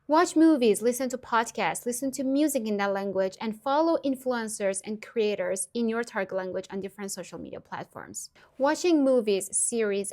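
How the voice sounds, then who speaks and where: A woman's voice: on the high side, darkish tone. A woman in her twenties from Iran, whose first language is Persian, giving earnest, upbeat language-learning advice to camera with frequent small laughs. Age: 20s. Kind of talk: direct-to-camera tutorial vlog.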